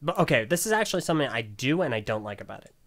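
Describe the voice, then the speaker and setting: Bright-voiced man hosting a casual podcast. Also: mid-range voice, sing-song, rapid-fire. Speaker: podcast host. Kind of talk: casual panel chat, product review.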